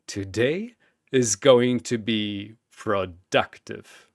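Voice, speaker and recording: A man voicing a sinister mad scientist in a story, soft, clinical and coldly fascinated. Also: on the low side, drawn-out words, vocal fry, dry recording, studio quality, exaggerated voice. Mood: clinical fascination.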